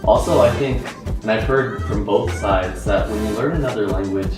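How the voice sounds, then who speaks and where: Young American man, very deep voice. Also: normal speed. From United States. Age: young adult. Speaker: student panelist. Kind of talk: casual discussion. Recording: echoey room, from far off, loud music behind.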